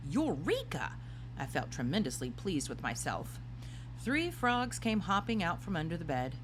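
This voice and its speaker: A woman narrates a storybook expressively. Her voice sits a little low and moves about in lively swoops. A faint hum runs behind the recording. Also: normal speed, low-quality recording. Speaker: storybook reader.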